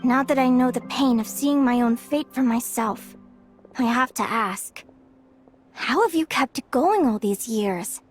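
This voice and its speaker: A woman voicing a caring young woman in a video game, speaking softly and earnestly. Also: a little high, a little slow, soft background music, natural voice. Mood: thoughtful.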